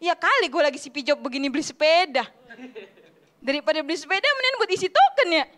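A young woman doing a stand-up comedy routine in an animated, slangy storytelling way. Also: high voice, quick pace. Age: young adult. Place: TV studio stage, indoors. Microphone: handheld microphone.

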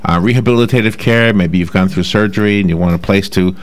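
A chatty male radio host with a New York accent, speaking into a studio desk mic. His voice sits low and he laughs easily between points. The talk stays loose and conversational. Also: quick pace. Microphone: desk microphone.

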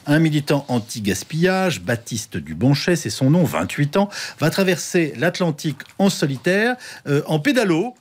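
A man's voice: fairly high pitch, sing-song, moderate pace. A man in his fifties hosting a radio show in a conversational presenting style, on a studio microphone.

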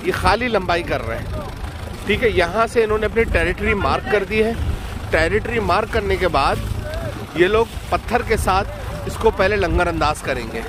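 A Pakistani man in his thirties walks viewers through a fishing trip in a high voice, slipping English terms into his explanations. He talks into a handheld phone on an open boat, and loud engine noise runs under him. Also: expressive, normal speed. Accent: Pakistani Urdu. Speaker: fishing vlogger. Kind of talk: explaining while filming.

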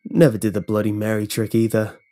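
A man's voice: fairly low pitch, monotone, brisk, dark tone, lightly acted. A man voicing an uneasy, hesitant male security guard in a horror story. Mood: nervous, defensive. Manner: hesitant, stammering when pressed.

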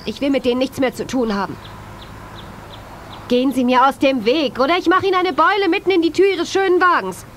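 A woman's voice: sits high, a little fast, fairly bright tone. A woman playing a wary, bitter widowed mother in a German audio drama, blunt and emphatic. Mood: angry, defensive. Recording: noisy cassette transfer.

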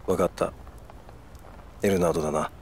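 A man's voice: sits low, fairly even intonation, a little fast, vocal fry, a little mellow, a little hiss or hum. A man voicing a stoic, cool-headed yakuza lieutenant in a Japanese video game, terse and restrained.

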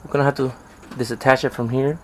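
A man's voice: mid-range voice, little pitch movement, quick pace, a little hiss or hum. A man giving a casual step-by-step how-to on his boat outdoors, talking plainly with fillers. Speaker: DIY boat video host.

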